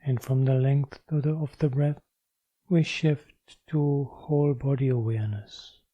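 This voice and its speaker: A man leading a guided meditation as a teacher, with measured, deliberate phrasing and pauses. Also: mid-range voice, fairly even intonation, no echo, lo-fi sound.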